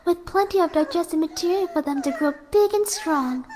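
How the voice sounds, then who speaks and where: A woman voicing a gleefully sadistic young female cartoon pony in a soft, sweet horror-story reading. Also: very high voice, brisk, slight background noise, pitched above the performer's own voice. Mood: sweetly menacing. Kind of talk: fan fiction horror reading in character.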